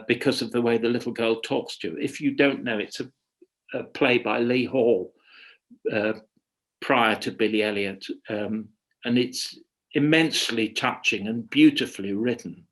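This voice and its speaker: Man answering questions haltingly and thoughtfully. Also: medium pitch. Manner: hesitant, halting, thinking aloud with repeated starts. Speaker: guest expert, radio dramatist. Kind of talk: informal webinar question-and-answer.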